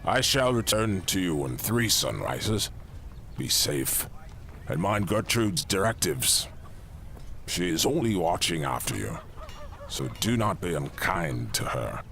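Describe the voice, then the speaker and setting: Male actor, deep-voiced king, fry-laden rasp, doting father, formal archaic speech, fantasy audio drama, faint noise. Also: very animated, slow pace, a bit bright, full character voice. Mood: gently firm. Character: doting fatherly king, stern about duty.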